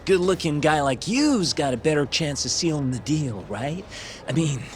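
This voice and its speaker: An actor playing a sleazy, wheedling, self-deprecating gambler in a video game, with a crude, slangy drawl. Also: male voice, fairly high pitch, animated, moderate pace, soft background music, natural voice. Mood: sly, wheedling. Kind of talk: video game cutscene acting.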